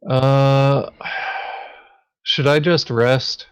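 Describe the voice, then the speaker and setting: A man's voice: mid-range voice, steady tone, drawn-out words, smooth, no echo. A man playing a board game, chatting casually and hesitantly as he thinks his moves through aloud. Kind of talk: casual game table chat.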